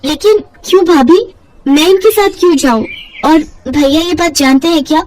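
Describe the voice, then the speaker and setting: A sweet, innocent young woman with a high voice, played by a female narrator. She sounds meek, dutiful and worried, in moral-story drama style. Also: normal speed, a little mellow, a little hiss or hum, close to an everyday voice, low-quality recording. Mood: confused, worried. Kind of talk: melodramatic moral-story voice acting.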